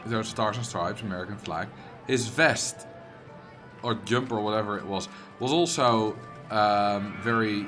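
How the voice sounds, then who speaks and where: Brief: Dutch-accented male streamer, mid-range pitch, rambling chatter, game music running underneath. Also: fairly bright tone. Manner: chatty, rambling, answers viewers' messages. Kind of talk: casual livestream chat while playing a game. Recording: game music playing behind.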